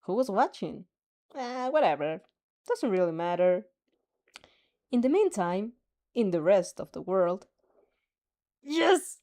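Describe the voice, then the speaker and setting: Animated woman reading fanfic aloud. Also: mid-range voice, slow, long pauses. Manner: laughing asides, animated. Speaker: fanfic reader.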